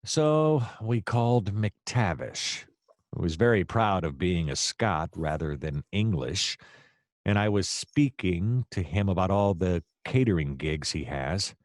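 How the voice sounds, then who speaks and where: An actor playing a hapless, excitable private detective in a comedic noir audio play, rambling and theatrical in his exasperation. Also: deep voice, slow pace, no room sound, studio quality. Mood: dejected, rambling.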